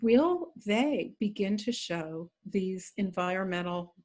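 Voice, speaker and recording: A woman's voice: medium pitch, expressive, slow, darkish tone. An older woman lecturer answering audience questions over a video call, thoughtful and full of small hedges and dry asides. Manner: thoughtful, hedging, self-correcting with dry humor. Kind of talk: academic Q&A, conversational.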